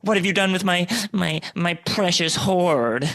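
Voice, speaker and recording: A man's voice: high voice, a little slow, fairly bright tone, voice pushed up. Man acting a pompous, cowardly rich young man. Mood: aghast. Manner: pompous, stammering when afraid. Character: haughty, cowardly rich young man, whimpering when threatened. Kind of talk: improvised radio play acting.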